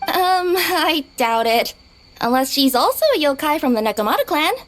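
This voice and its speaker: A very high, highly animated young cat yokai girl for a video game. She is friendly and a little drowsy, speaking politely and hesitantly. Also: moderate pace. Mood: hesitant, helpful.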